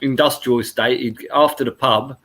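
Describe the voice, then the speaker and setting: Man reminiscing with animated sound effects. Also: medium pitch, moderate pace. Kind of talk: casual storytelling in group chat.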